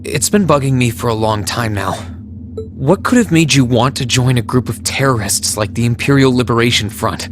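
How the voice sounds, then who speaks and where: A young man of serious, brooding temper, played by a male game actor, speaks with insistent sincerity in a mid-pitched voice. He sounds like an earnest academy student in a game cutscene, with faint music underneath. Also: lively intonation, normal speed, lightly acted. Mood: earnest, pleading. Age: young adult. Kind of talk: video game voice acting.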